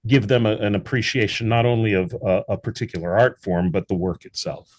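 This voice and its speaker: A man's voice: fairly low pitch, a little fast. A man being interviewed as a guest, speaking earnestly in long, winding sentences about his theatre work. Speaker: guest, theatre founder and actor. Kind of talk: casual interview over a call.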